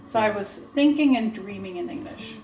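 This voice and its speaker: A woman's voice: a little high, normal speed. A woman, chatting casually and playfully at home while filming a vlog. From Sweden. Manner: chatty, playful, laughs easily. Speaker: vlogger. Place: home kitchen and living room. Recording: echoey room with soft guitar music.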